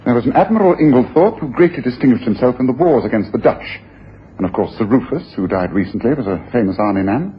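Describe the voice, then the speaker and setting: A man playing an analytical gentleman detective in a radio play, musing and lecturing with precision. His mid-pitched voice moves in lively contours. The broadcast is dull, with a faint hiss of noise. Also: fast, natural voice. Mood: musing, knowing. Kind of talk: radio drama acting.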